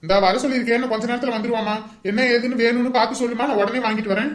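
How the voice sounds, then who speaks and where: A woman's voice: a little high, fairly even intonation, very fast, lightly acted. A woman playing a bossy, hot-tempered male elder in a village family drama, blunt and commanding. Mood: brisk instructing. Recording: roomy, echoing sound.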